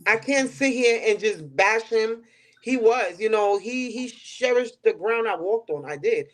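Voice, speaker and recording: A woman's voice: fairly high pitch, expressive, measured pace. A woman in her late thirties with a New York accent, speaking candidly as an interview guest and repeating phrases for emphasis. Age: late 30s. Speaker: podcast guest.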